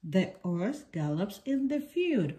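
Slow woman reading a children's picture book. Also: medium pitch, very animated, dark tone, a little hiss or hum.

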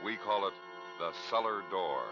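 Low-pitched American male announcer, formal 1950s radio drama style, dull vintage recording over music. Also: normal speed.

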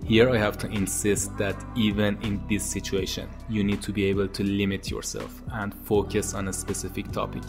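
Thirties Iranian-accented host, low and very even, steady advice over background music. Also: male voice, normal speed, few pauses. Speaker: tutorial channel host. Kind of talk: scripted educational talk to camera. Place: home studio with monitors and posters. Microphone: boom-arm podcast mic. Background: soft background music.

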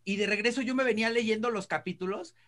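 A man's voice: high-pitched, fast, pushed and bright. A middle-aged man with a Mexican accent chatting casually and telling anecdotes as a panelist on a video call. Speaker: entertainment show panelist. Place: indoor room, video call. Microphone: video call mic.

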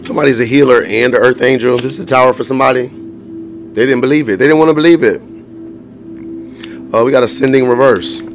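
A hoarse man giving a casual tarot reading to viewers, blunt and profane, often laughing as he talks. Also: medium pitch, fairly quick, pauses often. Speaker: tarot reader. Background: music.

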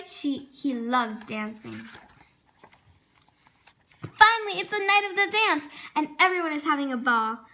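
A woman's voice: high voice, sharp tone. A female reading a children's storybook aloud expressively, sometimes stumbling over words and correcting herself. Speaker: storybook reader.

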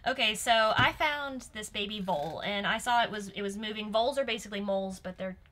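A woman's voice: medium pitch, a little fast, sharp tone. A young woman giving casual, giggly commentary and telling anecdotes in an enthusiastic, chatty way. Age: young adult. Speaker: guest fan commentator. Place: small furnished room indoors.

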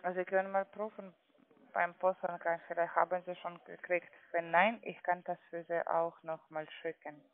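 Man, non-native speaker, high-pitched, ungrammatical phrasing, call centre agent, narrow telephone sound. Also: level tone, normal speed, smooth, no room sound. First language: not German. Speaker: call centre agent selling trading accounts. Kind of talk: phone sales call. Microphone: phone call. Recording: narrow muffled telephone line.

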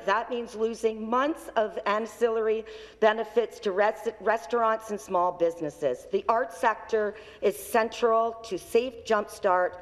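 A woman reading a measured question from notes as an opposition member in a large legislative chamber, on a desk microphone. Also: medium pitch, long reverb tail.

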